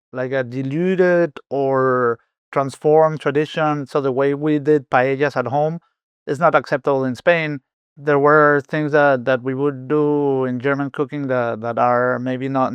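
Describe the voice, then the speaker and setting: Man from Venezuela, chef guest, smooth clear voice, dry close sound, thoughtful, many restarts. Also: mid-range voice, dry recording, muffled recording. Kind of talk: podcast interview.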